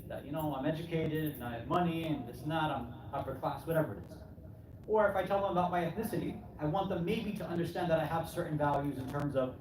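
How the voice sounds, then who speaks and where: A man giving a conversational sermon, asking rhetorical questions, often adding "right?" and voicing imagined replies. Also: fairly high pitch. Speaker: preacher addressing a congregation. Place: Islamic center hall. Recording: echoey hall, distant mic, clearly noisy.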